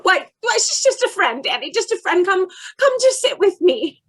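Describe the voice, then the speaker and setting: A woman's voice: very high voice, big pitch swings, normal speed. A woman acting a distraught older mother in an old-time radio drama, hesitant and imploring, repeating her words. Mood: nervous. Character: frail, pleading, distraught older mother of a criminal.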